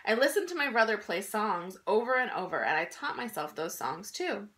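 A woman in her thirties reading a children's picture book aloud in an expressive, emphatic storytelling style. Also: medium pitch, moderate pace. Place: small indoor room at home.

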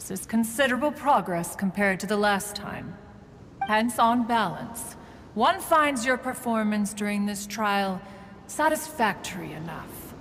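A woman voicing a proud, judging female crane immortal in a video game, with formal, archaic and measured speech. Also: mid-range voice, animated, a bit bright. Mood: aloof, appraising. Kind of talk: scripted video game voice acting. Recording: long reverb with faint background noise.

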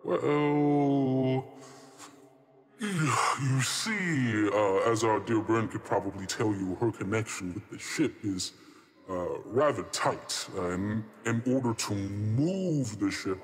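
A chatty, evasive trickster spirit from a tabletop roleplay, acted by a man. The voice sits fairly low and trails a long reverb. He is courteous and hesitant, forever hedging his way around an answer. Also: takes it slowly. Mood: politely hedging. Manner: hesitant, rambling, politely hedging.

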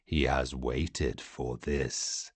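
A giant inhuman warlord speaks in a very low, hushed growl. The words are drawn out with cold menace and contempt, in a close, dry recording. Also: male voice, fairly even intonation, very slow, slightly rough, no room sound, exaggerated voice. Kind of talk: audiobook character acting.

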